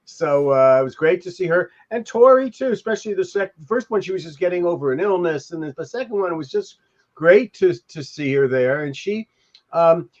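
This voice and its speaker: A man's voice: high-pitched, lively intonation, brisk. An older man chatting loosely and ramblingly as a podcast co-host over a video call. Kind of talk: casual chat. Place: room at home, video call. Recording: dull lo-fi video-call audio.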